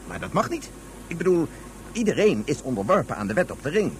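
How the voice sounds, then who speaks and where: A man's voice: fairly high pitch, big pitch swings, fairly quick, slight background noise. An actor playing an opinionated, impatient father who lectures dismissively about federation principles in a science fiction radio drama. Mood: lecturing.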